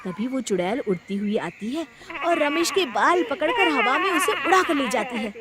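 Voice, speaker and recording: A woman's voice: high-pitched, lively intonation, normal speed, over a noisy crowd. A woman narrating a story in a dramatic, scripted storytelling style.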